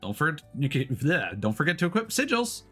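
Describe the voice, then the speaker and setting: A man's voice: fairly high pitch, a little fast, husky, faint music behind. A man giving playful, casual gameplay commentary as a streamer, sometimes reading game text aloud. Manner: playful, expressive.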